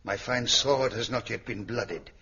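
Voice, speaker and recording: An actor playing a ruthless, pompous megalomaniac villain in a radio drama, theatrical and menacing. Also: male voice, mid-range voice, a little slow, sharp tone, slightly rough, full character voice. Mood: cold menace. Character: ruthless, grandiose male megalomaniac villain with a sword. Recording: dull lo-fi radio recording.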